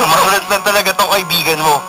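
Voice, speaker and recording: A young Filipino student banters in a light, teasing way. It sounds like radio romance drama, with music playing behind him. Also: male voice, high voice, fairly even intonation, brisk, rough recording. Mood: playful banter. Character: teasing, playful young male college heartthrob.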